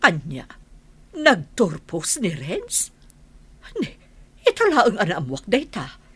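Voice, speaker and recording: A woman's voice: fairly low pitch, wildly swooping pitch, a bit bright, breathy edge, dry recording, full character voice. A woman playing an anxious, nagging older village mother in an Ilocano radio drama, with an Ilocano accent and plenty of exclamations. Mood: nosy, surprised. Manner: nagging, exclamatory, quick to interject. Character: anxious, gossipy older village mother fretting over her daughter.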